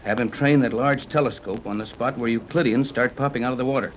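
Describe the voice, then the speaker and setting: An actor playing a confident, decisive ship captain in a 1930s radio adventure serial. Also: male voice, medium pitch, fast, dull audio, natural voice. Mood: brisk, commanding. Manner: decisive, clipped orders. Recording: noisy 1930s radio recording.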